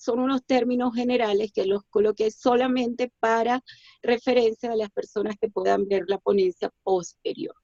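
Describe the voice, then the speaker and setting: Woman auditor presenting online; measured and hesitant, dull dry audio. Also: mid-range voice, no echo. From Colombia. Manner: steady explanatory delivery with frequent hesitations. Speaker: quality management consultant and auditor. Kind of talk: webinar presentation.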